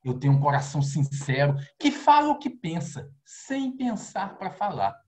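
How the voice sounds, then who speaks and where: A man from Minas Gerais with a Brazilian accent, reading his prose and poetry aloud with expressive rises on key lines, over a video call. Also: moderate pace. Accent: Brazilian Portuguese. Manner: expressive recitation, rising pitch on key lines. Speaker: poet and novelist.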